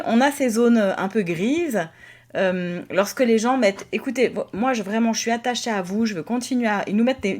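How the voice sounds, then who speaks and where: A woman pastor co-hosting a podcast, speaking in a hesitant way with many fillers and occasional soft laughs. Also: mid-range voice. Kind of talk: casual conversation.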